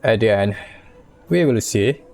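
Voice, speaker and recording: Slow-talking man doing casual gameplay commentary. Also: fairly low pitch, lively intonation, creaky, darkish tone. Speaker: video game streamer. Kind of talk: casual gameplay commentary, reading on-screen text. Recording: faint game music behind.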